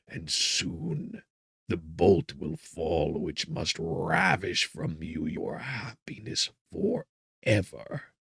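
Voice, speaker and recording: A man voicing a wretched, vengeful monster in a gothic audiobook, with smothered, rasping breath and grandiose, bitter menace. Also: low-pitched, bright, forceful tone, no room sound, clean studio sound, heavily acted. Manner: slow, drawn-out, grandiose threats. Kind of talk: dramatic gothic audiobook acting.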